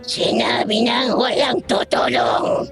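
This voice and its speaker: Imperious she-monster, creaky and swooping, scornful menace, horror audio drama over music. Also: a little high, sing-song, takes it slowly, full character voice. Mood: scornful threat. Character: haughty, sadistic female aswang mistress. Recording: music under the voice.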